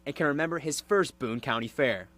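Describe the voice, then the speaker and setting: A man's voice: mid-range voice, a little fast, a bit bright. A man working as a TV news reporter, delivering scripted broadcast narration and live reports.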